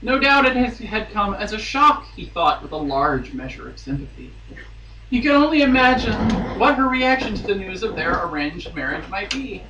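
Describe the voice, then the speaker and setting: A man's voice: high-pitched, big pitch swings, moderate pace, muffled recording. A man giving a theatrical dramatic reading of a romance novel, dropping into amused asides. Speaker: guest reader on a podcast. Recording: roomy, distant mic, faint noise.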